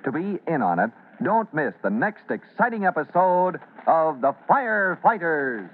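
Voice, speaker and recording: A man's voice: a little high, very animated, measured pace. A man narrating as a theatrical, emphatic announcer for an old-time radio serial. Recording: tinny, noisy old radio broadcast.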